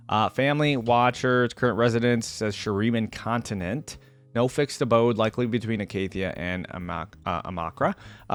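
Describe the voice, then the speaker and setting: Lively, chatty young man reacting. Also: mid-range voice. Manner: chatty and musing, breaks off reading to react, chuckles. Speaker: reaction video host. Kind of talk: casual reaction commentary, reading a wiki aloud.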